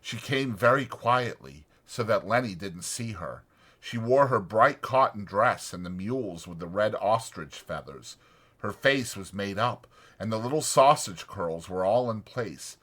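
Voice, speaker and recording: A man's voice: fairly low pitch, lively intonation, measured pace, fairly bright tone. A man reading a story as a dramatic audiobook narrator.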